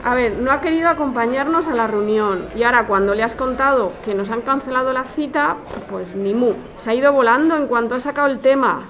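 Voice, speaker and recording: A woman's voice: fairly high pitch, brisk, few pauses, a bit bright, slight background noise. A woman with an accent from Spain playing a distrustful, blunt, colloquial friend in a naturalistic audio drama. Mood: alarmed, accusing. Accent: Spanish, from Spain.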